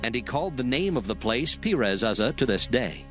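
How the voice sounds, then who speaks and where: A man's voice: medium pitch, sing-song. Formal male scripture narrator over music. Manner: measured, formal.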